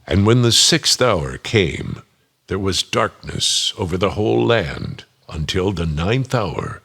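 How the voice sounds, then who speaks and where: A man's voice: deep voice, very animated, slow, vocal fry, fairly bright tone, breathy edge, heavily acted. A man giving a solemn dramatic narration of a scripture story.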